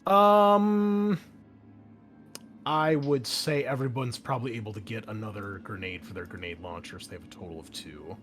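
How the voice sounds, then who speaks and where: A man's voice: mid-range voice, normal speed, a bit bright. A man explaining tabletop game rules casually as game master, thinking aloud as he goes. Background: background music.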